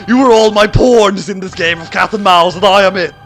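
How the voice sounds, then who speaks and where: A man's voice: high voice, a little fast, a bit bright, soft background music, voice pushed up. A man voicing a grandiose villain who declaims with triumphant bombast in a comic story reading. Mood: gloating, triumphant. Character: gloating evil male mastermind, grandiose schemer. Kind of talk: theatrical comic fan fiction reading.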